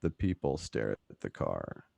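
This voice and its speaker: A man's voice: a bass voice, big pitch swings, a little slow, creaky, warm tone, no room sound. A man narrating a suspense radio play in a dramatic, scripted style.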